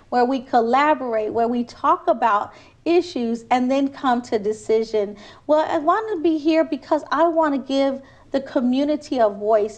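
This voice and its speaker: A woman from Trinidad, a city council candidate, answering interview questions in an earnest, persuasive way with frequent restarts. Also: high-pitched.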